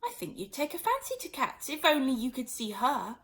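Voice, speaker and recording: A chatty little girl from a storybook, played by a woman reader, with a high voice. She is polite, curious and earnest, and her eager talk rises and falls freely. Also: big pitch swings, a little fast, a bit bright, full character voice. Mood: apologetic, soothing. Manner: eager, earnest, well-mannered.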